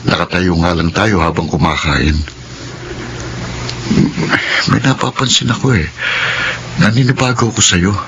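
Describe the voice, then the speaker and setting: A man's voice: sits low, moderate pace, noisy background. An actor playing an attentive, devoted young working man in love in a Filipino radio drama. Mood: concerned.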